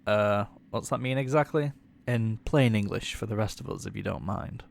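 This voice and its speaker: A man's voice: on the low side, normal speed, gravelly, slight background noise. An actor playing a blunt, irreverent tech guy in an audio drama, slangy and informal. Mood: puzzled.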